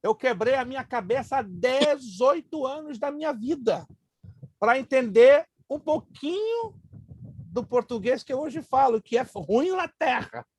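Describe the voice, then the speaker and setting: A male pastor with Hebrew as his first language leads a Bible class. His voice sits high and a little husky. He drills grammar terms slowly and mixes in joking asides. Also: expressive, a little mellow, no echo.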